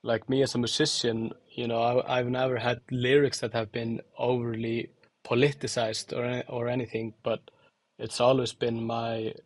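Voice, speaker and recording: A young man from Iceland and Angola answering interview questions thoughtfully, with many fillers and pauses. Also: medium pitch, flat delivery, no echo. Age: young adult. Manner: hesitant, many fillers and restarts. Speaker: podcast guest, musician and designer.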